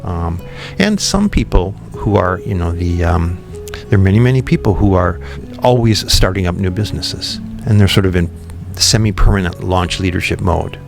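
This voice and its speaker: A man guesting on a podcast, a company founder who explains his ideas in a thoughtful, relaxed way, with frequent ums and restarts. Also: low voice, normal speed, vocal fry, hardly any pauses, over music. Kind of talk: relaxed interview conversation.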